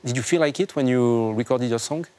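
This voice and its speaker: A man hosting an interview in English, asking questions in a somewhat hesitant, halting way. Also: medium pitch, a little mellow. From France. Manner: hesitant, slightly halting phrasing. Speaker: interview host.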